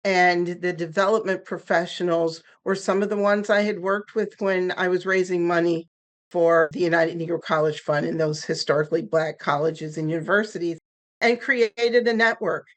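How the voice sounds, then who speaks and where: A woman in her sixties, a guest on a podcast interview, telling her story in a candid, lively way; German was her first language. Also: fairly low pitch, moderate pace. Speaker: guest, nonprofit founder.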